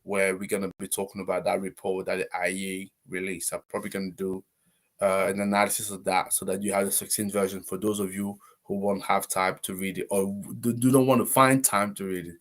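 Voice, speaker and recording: A man hosting a live stream, talking casually and warmly to viewers, rambling with many fillers and restarts. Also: sits low, level tone, fairly quick. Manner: friendly, encouraging, addresses viewers directly. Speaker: host of a live business stream.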